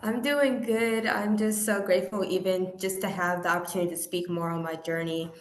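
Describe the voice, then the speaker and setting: The sound is noticeably roomy and echoey. A young woman from the American Midwest speaks in a slightly low voice. She is an interview guest, sharing her testimony earnestly and reflectively. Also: fairly even intonation, fairly quick. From Indiana, American Midwest. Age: young adult. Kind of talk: personal testimony in conversation. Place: remote online call. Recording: roomy, echoey call audio.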